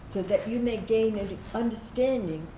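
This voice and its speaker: A woman's voice: a little high, moderate pace, mellow, lightly acted. A woman giving a scripted staged reading as a proud veteran who served in secret. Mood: earnest. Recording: echoey room, background noise.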